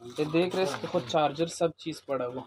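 A somewhat high-voiced man with a Pakistani accent talks over clear background noise. He is a shopkeeper showing his goods casually, giving short, reassuring answers. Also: fairly quick, darkish tone. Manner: brief answers, repeated assurances. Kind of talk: casual sales demonstration. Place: small shop packed with goods, indoors. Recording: clearly noisy with clicking sounds.

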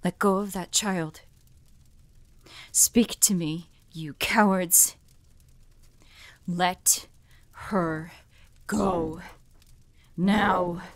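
A woman playing a weary, protective demon hunter in an audio drama, gentle yet resolute, with a measured, solemn delivery. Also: fairly low pitch, steady tone.

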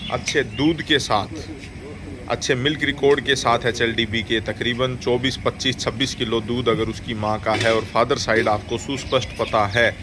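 A man narrating a voice-over over dairy milking footage, formal and measured, often pausing to rephrase. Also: medium pitch, background noise. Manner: formal, measured, with frequent hesitations. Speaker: dairy farming video host.

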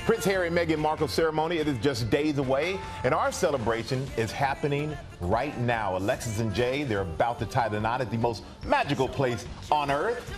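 A man's voice: mid-range voice, animated, brisk. A man anchoring a morning show with upbeat live presenting. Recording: loud crowd and music behind.

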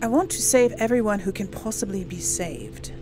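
A woman's voice: mid-range voice, animated, normal speed, over music. A woman hosting a casual role-play gaming video, commenting informally and thinking aloud as she plays. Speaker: gaming video host and player.

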